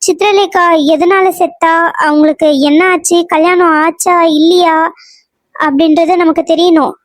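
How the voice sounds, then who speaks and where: A woman's voice: very high voice, steady tone, measured pace, dry recording. A woman playing an anxious young married woman who takes charge, speaking earnestly in an audio drama. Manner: insistent, earnest.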